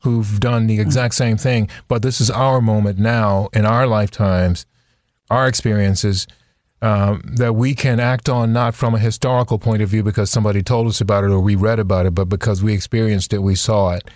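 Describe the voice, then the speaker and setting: Podcast host guy, lowish voice, super dry close mic, a little music under it. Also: steady tone, moderate pace, darkish tone, no echo. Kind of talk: hosting an interview podcast.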